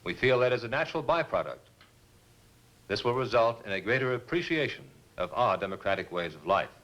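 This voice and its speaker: A man's voice: mid-range voice, big pitch swings, fairly quick, a bit bright, rough recording. A man, an army colonel, answering questions in a formal, assured manner in a scripted interview.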